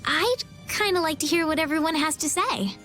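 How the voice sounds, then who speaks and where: A gentle young maiden speaks in a high voice with soft courtesy. She is earnest and a little hesitant, a fantasy game heroine over light music. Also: normal speed. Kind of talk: video game cutscene acting.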